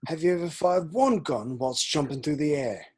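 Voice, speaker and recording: A man playing an eager, boyish village policeman in a script table read, keen and questioning, with a husky voice. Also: on the high side, lively intonation, normal speed. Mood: eager, curious.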